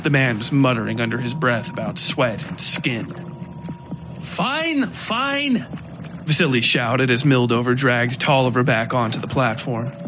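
A man's voice: medium pitch, steady tone, moderate pace, few pauses. Man narrating horror fiction over watery noise. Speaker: podcast narrator.

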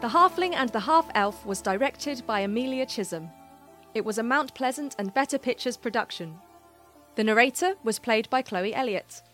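A woman announcer delivers a scripted list of credits. Her voice is a little higher than average, with light music playing behind her. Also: a bit bright.

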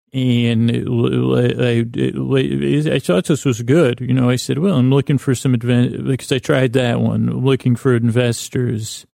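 A man hosting a sleep podcast with a meandering, stammering comic monologue, halting and deadpan, in a gravelly voice. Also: mid-range voice, no echo, studio quality. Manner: meandering, halting, stammering with many false starts. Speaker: podcast host.

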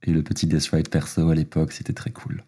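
Very deep man, halting. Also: monotone, mellow, husky. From Belgium. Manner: hesitant, many fillers, laughs easily. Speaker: guest, drag artist and fashion designer. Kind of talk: casual interview talk.

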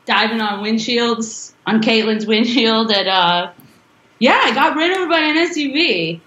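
Bubbly woman guest, high and strong voice, rambling chat, roomy dull podcast sound. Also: measured pace. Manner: giggly, rambling, animated. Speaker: musician guest. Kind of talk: casual interview chat.

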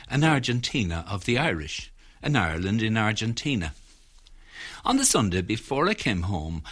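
A man reading a radio documentary script in a low, husky voice. His intonation rises and falls in a lively way. He tells the story at a measured pace. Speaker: documentary presenter.

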